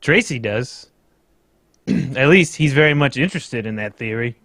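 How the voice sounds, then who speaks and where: A man's voice: mid-range voice, animated, unhurried, a bit bright. A man reading a pompous, florid old-fashioned actor in a live old-time radio table read. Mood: grandiose. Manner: florid, theatrical, says "me dear".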